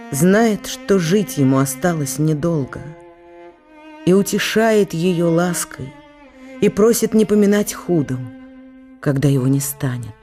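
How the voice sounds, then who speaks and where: A woman reading scripted literary narration for a radio drama in a measured, pensive way. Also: sits low, warm tone.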